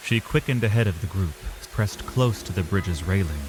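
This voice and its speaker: A man delivering dramatic, measured literary narration as the storyteller of a scripted fantasy tale. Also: sits low, expressive, background noise. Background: music.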